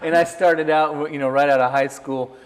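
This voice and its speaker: A man's voice: a little high, fairly even intonation, moderate pace. A middle-aged man from Missouri, a musician and interview guest, casually telling his personal history. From Missouri, United States. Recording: echoey, reverberant room.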